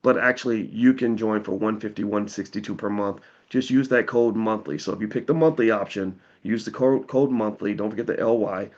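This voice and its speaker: A slightly hoarse man hosting, giving a casual, persuasive sales pitch to viewers in plain-spoken talk, often repeating words as he restarts. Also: a little fast, few pauses, a little mellow, dull audio. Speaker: host pitching an investing course.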